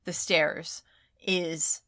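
A woman's voice: deep voice, level tone, extremely slow, sharp tone, no echo, studio quality. A woman narrating as game master of a tabletop game, laughing often and calling for dice rolls.

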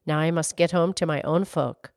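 A storybook horse, played by a woman storyteller, pitched well down from her narration. It is plain-spoken and a little brusque, yet obliging, in a dry, close recording. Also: fairly even intonation, normal speed, darkish tone, no room sound. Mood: matter-of-fact. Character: helpful horse, matter-of-fact servant of little folk.

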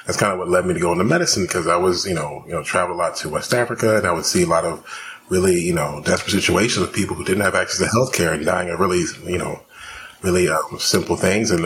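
A man from Houston, Texas, a physician guest talking fast with many fillers in a podcast interview. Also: deep voice, noisy background. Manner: rapid, many fillers and restarts.

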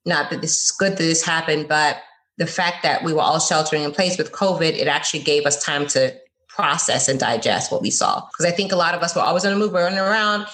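A woman in her forties from South Florida, a college professor being interviewed on a podcast, who talks in a lively, earnest way and laughs easily. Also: low voice, quick pace. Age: 40s.

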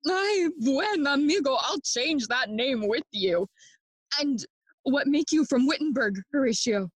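A woman's voice: high voice, animated, a little slow, no echo. A woman playing a grieving, bitter young prince in a bilingual Shakespearean audio play, theatrical and impassioned, moving between English and Spanish. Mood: welcoming.

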